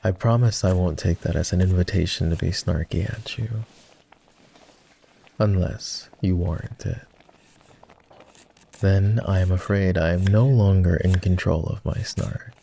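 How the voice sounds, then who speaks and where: A man voicing a polite, self-deprecating old vampire in an intimate roleplay ASMR style, with formal, hesitant phrasing and a soft, husky delivery. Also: low voice, steady tone, measured pace, pauses often, a little hiss or hum. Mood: wry, teasing. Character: polite, self-deprecating, gently teasing ancient male vampire.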